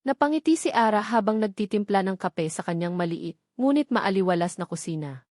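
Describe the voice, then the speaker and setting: A woman's voice: medium pitch, animated, brisk, darkish tone, no echo, studio quality. A woman with a Filipino accent narrating a scripted love story in a dramatic storytelling style.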